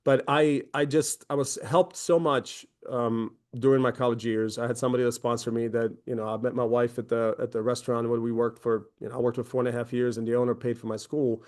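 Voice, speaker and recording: A man's voice: mid-range voice, little pitch movement, a little fast, hardly any pauses, a little mellow. A man in his forties from Croatia, a company founder giving a conversational presentation with many fillers and restarts. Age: 40s. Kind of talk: webinar presentation.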